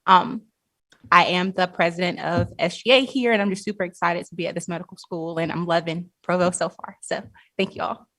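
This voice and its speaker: A woman's voice: fairly low pitch, fairly even intonation, a little fast, a bit bright, no room sound. A young woman from North Carolina with an American accent, briefly introducing herself as a student leader. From North Carolina, United States. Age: young adult. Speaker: student government president.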